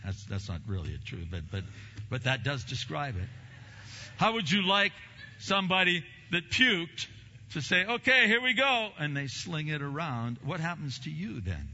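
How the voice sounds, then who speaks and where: A male pastor delivers a sermon with a big, forceful, slightly high-set voice. He swings between low, slow emphasis and loud, emphatic peaks. The sound is lo-fi and washed in the long reverb of a church hall. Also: very animated, a little hiss or hum.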